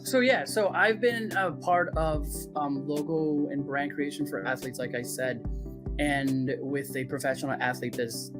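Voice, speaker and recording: A man's voice: fairly high pitch, a little slow, music in the background. A man talking as a podcast guest about branding work, loose and chatty with many fillers. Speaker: podcast guest who works on athlete branding. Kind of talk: casual podcast chat.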